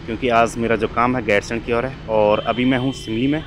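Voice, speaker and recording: A man's voice: medium pitch, steady tone, a little fast. A young man from Uttarakhand, narrating casually to viewers while riding a motorbike on hill roads. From Uttarakhand, India. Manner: chatty, addresses viewers as guys. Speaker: motovlogger. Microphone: helmet or body camera mic. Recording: noisy outdoor motorbike recording. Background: engine and traffic noise.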